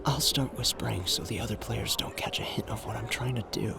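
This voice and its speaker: A man's voice: fairly low pitch, gravelly, music in the background. A man playing a suave, flirtatious, confident gambler in an ASMR roleplay audio drama, teasing and intimate in the way he talks. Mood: flirtatious, hushed. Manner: teasing, intimate, drawn-out phrasing with pet names.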